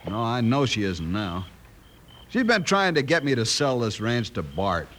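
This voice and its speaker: A man's voice: mid-range voice, sing-song, normal speed, a bit bright. An actor playing a worried, protective rancher father held captive in a 1950s radio western. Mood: weary, worried. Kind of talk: 1950s old time radio western acting. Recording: faintly noisy old radio recording.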